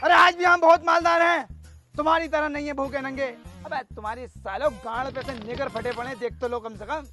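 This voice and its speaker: A very high-pitched male village braggart , acted by a man in a broad comedy sketch. He is crude, argumentative and quick to taunt, with music clearly playing behind him. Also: steady tone. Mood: boastful, angry. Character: hot-headed, boastful village man feuding over a loudspeaker.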